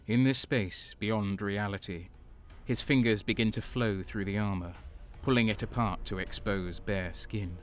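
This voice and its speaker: An actor playing a haunted, horror-struck soldier who narrates his own nightmare in an intense, halting, theatrical audio drama style. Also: fairly low pitch, fairly even intonation, close to an everyday voice. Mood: gripped horror.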